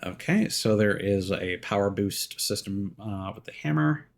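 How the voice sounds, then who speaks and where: A man's voice: lowish voice, animated, a little slow, gravelly. A man streaming a video game, giving casual live commentary and thinking aloud as he plays.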